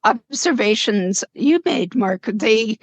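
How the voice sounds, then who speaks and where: A woman chats about a film at an unhurried pace, laughing often and trailing off as her voice gives out. She sounds dry and close to the mic, with no room around her. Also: a little high, expressive, husky, no room sound. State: voice giving out. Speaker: film discussion panelist. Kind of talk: casual film discussion.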